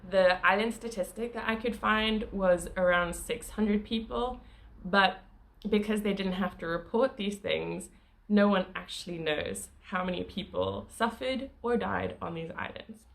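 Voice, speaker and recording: A woman's voice: medium pitch, a little slow, gravelly. A woman narrating her travel vlog in a casual, chatty way, with small laughs and fillers. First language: not Croatian. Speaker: travel vlogger.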